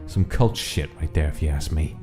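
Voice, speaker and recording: A man's voice: extremely low pitch, normal speed, warm tone, soft background music. A man voicing a tough gangster from the mafia underworld in a comic dub. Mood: matter-of-fact. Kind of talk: comic dub character acting.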